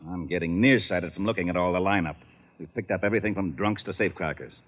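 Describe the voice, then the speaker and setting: An actor playing a gruff, harried police lieutenant in a 1940s radio drama, blunt and exasperated. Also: male voice, sits low, fast talker, muffled recording. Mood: weary, commanding.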